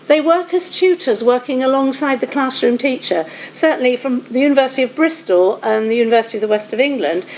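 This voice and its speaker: Woman presenting about her outreach program, slightly high voice, explains with some ums. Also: a little hiss or hum, rough recording. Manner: explanatory, with "um" pauses. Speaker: language outreach coordinator. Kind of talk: presentation to an audience.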